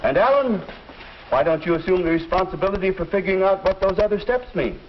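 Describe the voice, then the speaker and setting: An actor playing a kindly, patient teacher with a measured, explanatory way of talking in a 1950s instructional film. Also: male voice, sits high, lively intonation. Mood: encouraging. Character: kindly, patient male teacher advising a student. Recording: muffled old film soundtrack, faint noise.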